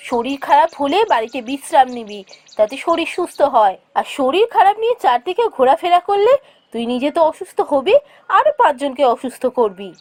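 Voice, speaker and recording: Landlord household's mistress, cartoon role, performed by a woman: high voice, motherly, chiding, gives instructions kindly. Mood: concerned scolding. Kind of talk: cartoon voice acting.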